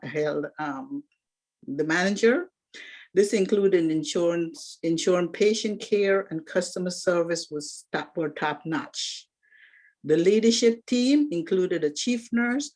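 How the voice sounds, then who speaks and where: A woman's voice: low-pitched, darkish tone. Woman in her sixties giving a campaign speech. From Guyana. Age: 60s. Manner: earnest, organized, occasional restarts. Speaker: candidate for alumni association president.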